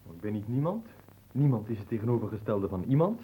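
A man playing a pompous, hapless young aristocratic suitor in a comic radio play, speaking floridly but hesitantly with a husky voice. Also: fairly low pitch, very animated, fast, mellow, slight background noise, low-quality recording. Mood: languid, courting. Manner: florid, hesitant, easily affronted.

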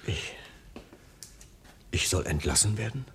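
An actor playing a modest, wryly resigned middle-aged security man, halting and reserved, in a German radio crime comedy. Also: fairly low pitch, animated, a little hiss or hum. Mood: stunned, hurt. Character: ageing security man, modest, bitter after being sacked.

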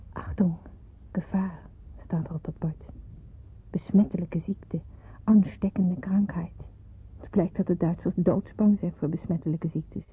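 A devout young daughter in a wartime radio play. Her voice is very husky and breathy, and she speaks with solemn, measured earnestness. The old recording sounds thin and phone-like. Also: fairly low pitch, heavily acted. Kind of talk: radio drama narration.

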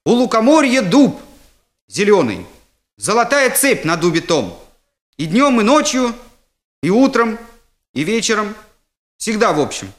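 A man's voice: sits high, sing-song, measured pace, long pauses, voice pushed up. A man voicing a cheeky schoolboy in a comic story, drawing out his words with over-eager, feigned innocence. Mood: bluffing, hesitant. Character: stalling, cheeky fifth-grade schoolboy bluffing through a recited poem.

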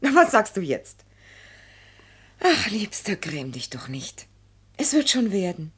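Husky, lively young sweetheart. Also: female voice, medium pitch, slow pace, exaggerated voice. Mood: tender, soothing. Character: loving young actress mistress, cheerful and coaxing. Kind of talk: German radio play acting.

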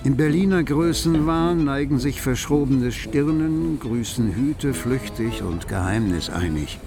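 A man acting as the wry narrator of a literary radio play about bohemian Berlin, articulate and measured in a declamatory storytelling way. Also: mid-range voice, lively intonation. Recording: music behind the voice.

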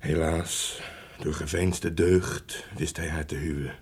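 Loyal sombre servant, low raspy voice, formal measured radio drama delivery, grave. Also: male voice, fairly even intonation, a little mellow, full character voice. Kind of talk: Dutch radio play acting, formal narration.

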